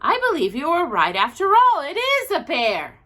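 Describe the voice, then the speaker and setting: A woman voicing a brisk, kindly wife and mother in a storybook, speaking in an emphatic, exclaiming way. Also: sits high, wildly swooping pitch, bright, forceful tone, voice pushed up, heavily acted. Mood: amazed.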